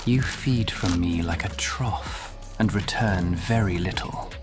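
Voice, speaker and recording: A man playing an imperious, cold, centuries-old vampire lord in a fantasy audio roleplay, with a breathy, whispery, menacing drawl. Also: low-pitched, slow pace, music in the background. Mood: scornful dismissal.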